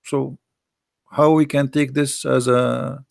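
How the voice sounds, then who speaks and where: A man hosting a talk show, mocking and sarcastic, going hoarse as he loses his voice. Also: medium pitch, flat delivery, slow, no room sound. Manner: mocking, sarcastic, with a non-native grammar. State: losing his voice. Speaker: host.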